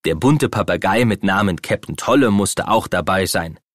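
A man narrating a children's audio play as an expressive, dramatic storyteller. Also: deep voice, normal speed, dry recording, studio quality.

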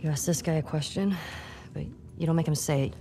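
Low, gravelly young American survivor. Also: female voice, a little fast, dark tone. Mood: grim. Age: young adult. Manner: terse, understated, blunt. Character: guarded, tough young woman survivor in post-apocalypse. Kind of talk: game cutscene acting, naturalistic.

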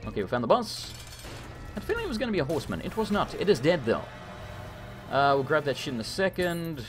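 A man commentating a live gameplay stream, hoarse and losing his voice, talking frantically and swearing often. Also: fairly high pitch, sing-song, quick pace, fairly bright tone, soft background music. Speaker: gaming streamer.

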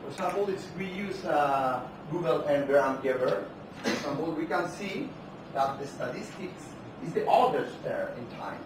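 A man with a Spanish accent lectures at a conference, pausing often on fillers and restarting phrases. He talks without a microphone in a large hall, so his voice reaches the camera from far off. Heavy reverberation and steady background noise surround every word. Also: on the high side. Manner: unamplified, halting with many fillers and restarts. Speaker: keynote speaker. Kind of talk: academic conference keynote. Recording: echoey hall from far off, noisy.

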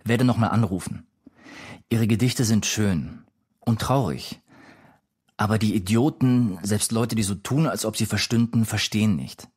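An actor reading a letter as an admiring young male composer, in a husky voice. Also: fairly low pitch, a little slow, a little mellow. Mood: earnest, admiring.

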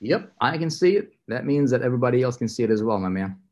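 A man hosting a video call interview, energetic and enthusiastic, rambling with frequent restarts. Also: medium pitch, quick pace. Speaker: interviewer, mentor. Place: indoor room with city view window.

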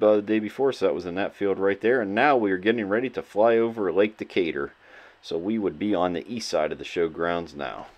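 Man from Illinois, very low voice, loose chatty voice-over, lots of "uh". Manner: relaxed, rambling, many "uh" fillers. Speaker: farmhand vlogger. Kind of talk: casual voice-over narration.

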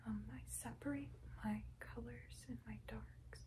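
A woman's voice: fairly high pitch, fairly even intonation, mellow. A young woman rambling casually in an ASMR video, full of filler words. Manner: rambling, filler-heavy, unhurried. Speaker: ASMR creator.

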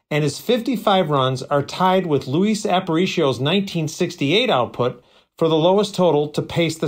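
A man reading a prepared stats segment, dry and list-like with wry asides. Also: on the high side. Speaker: stats contributor.

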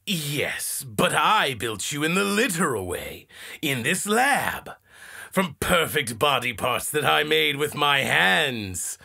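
A man playing a gentle, awkward scientist father in an audio drama, husky and hesitant, earnest in manner. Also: a little high, very animated, slow, exaggerated voice. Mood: gentle explaining. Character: gentle, awkward scientist father who builds a monster daughter. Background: faint music.

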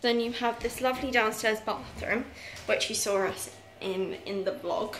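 A young British woman with a fairly high voice gives a chatty, teasing house tour. She talks casually while carrying a phone from room to room, with faint noise on the recording. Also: normal speed. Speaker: vlogger giving a house tour. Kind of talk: casual handheld vlog chat with sibling. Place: indoors, rooms of a holiday house. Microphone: handheld phone or camera. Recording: noisy handheld phone recording.

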